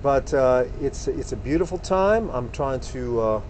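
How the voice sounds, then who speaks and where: Male comic monologue, very slow and halting, mock-sentimental, faint noise behind. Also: mid-range voice, animated, darkish tone. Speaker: comedic storyteller.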